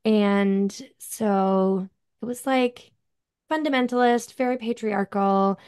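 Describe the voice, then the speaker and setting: A woman's voice: medium pitch, slow pace, a little mellow, clear, resonant tone. Chatty upstate New York woman guest. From upstate New York, USA. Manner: chatty, many fillers like "like" and "um". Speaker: podcast guest. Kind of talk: casual interview chat.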